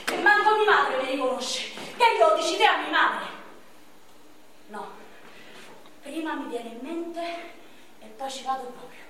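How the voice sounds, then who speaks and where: Battered, bitter young man, played by an actress; shouts crude curses; distant, roomy stage sound. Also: high voice, lively intonation, unhurried, pauses often. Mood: anguished. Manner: shouting, crude, furious outbursts. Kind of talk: stage monologue. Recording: echoey stage from far off, noisy.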